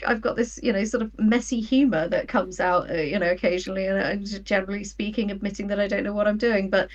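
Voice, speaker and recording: A woman sits a little distant from the microphone, talking in a medium pitch. She is in her thirties and speaks as a guest in an informal interview. She tells things in an animated way, voicing imagined quotes as she goes. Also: fast talker, lo-fi sound. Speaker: guest, writer. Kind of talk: casual interview chat.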